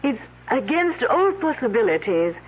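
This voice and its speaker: An actress playing a young French student with a French accent in a 1970s radio mystery, speaking in careful formal phrases with coy hesitations and a slight air of superiority. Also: female voice, on the high side, very animated, normal speed, a little hiss or hum. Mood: self-assured. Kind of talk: 1970s radio drama acting. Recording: muffled, phone-like old radio broadcast.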